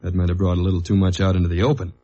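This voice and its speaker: A man acting as a steady, dogged government investigator and narrator in a mid-century radio detective drama. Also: sits low, rapid-fire. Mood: accusing. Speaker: lead actor and narrator of a radio detective serial. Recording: dull old radio recording with faint music.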